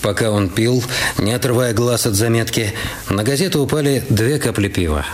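A man's voice: medium pitch, normal speed, lightly acted. A man narrating a literary detective story in an audio drama production. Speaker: narrator in a radio play. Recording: music under the voice. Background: music.

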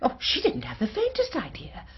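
An actress playing a genteel, resentful lady's companion who is a fragile murderess, prim, breathy and highly strung, in a radio drama. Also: wildly swooping pitch, moderate pace. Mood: bitter, tearful. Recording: dull band-limited radio drama recording.

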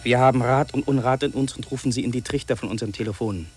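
An actor playing a lonely, fearful city man in a 1950s German radio drama, speaking in solemn, deliberate, incantatory phrases. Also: mid-range voice, a little mellow, close to an everyday voice. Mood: solemn, insistent. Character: lonely, fearful, brooding city man listing what he hears. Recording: old radio play on cassette tape.

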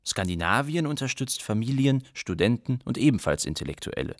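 A man's voice: medium pitch, big pitch swings, fairly quick, faint music behind. A man playing an observant, matter-of-fact young refugee who narrates a radio play.

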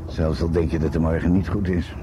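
A man's voice: low-pitched, level tone, brisk, close to an everyday voice. An actor playing a genial, philosophical male police colleague in a radio drama. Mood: easygoing. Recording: noisy old radio recording.

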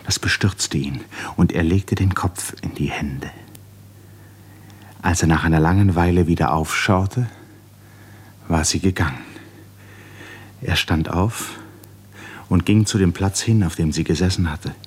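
A man narrating a radio play in a scripted literary style, with measured storytelling. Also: deep voice, husky.

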